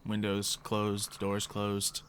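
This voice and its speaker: A man improvising casual tabletop game narration as game master in a halting, conversational way. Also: lowish voice, completely flat delivery. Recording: clear background noise with insect sounds.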